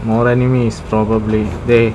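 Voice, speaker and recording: A woman's voice: extremely low pitch, flat delivery, normal speed, background noise. A woman streaming a game, giving short, casual muttered reactions as she plays.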